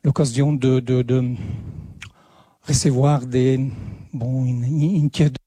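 A man with an Italian accent, a native Italian speaker, giving a talk at a conference in French, halting often and repeating words as he searches for them. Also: mid-range voice, fairly even intonation, warm tone, breathy edge, no room sound. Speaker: lecturer.

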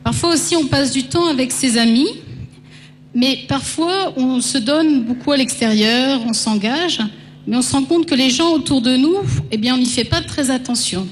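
High-voiced woman hosting in a hall. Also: normal speed. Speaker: co-host introducing testimonies. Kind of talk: informal encouraging address to an audience. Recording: reverberant hall, faint background noise.

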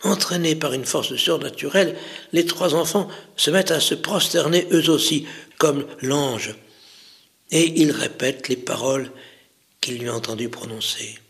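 A man narrating a religious story as a storyteller, deliberately, in short phrases separated by pauses. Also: a little high, a bit bright.

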